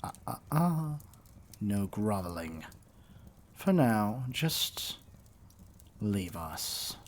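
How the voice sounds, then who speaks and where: Guy doing an evil king for asmr, real slow and haughty, condescending and theatrical, bit of hiss on the recording. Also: on the low side, sing-song, heavily acted. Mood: impatient. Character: haughty evil sorcerer king, menacing, imperious, vain. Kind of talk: ASMR roleplay acting.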